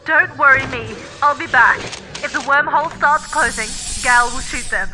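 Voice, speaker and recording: A woman's voice: sits high, moderate pace, sharp tone, a little hiss or hum. A woman playing an earnest, urgent character in a sci-fi audio drama, speaking with intensity. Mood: determined, tender.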